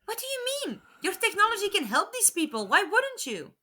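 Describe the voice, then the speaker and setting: A woman's voice: extremely high pitch, wildly swooping pitch, moderate pace, bright, forceful tone, full character voice. A woman playing an earnest time traveller's companion in an audio drama, probing with concern and challenge. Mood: challenging.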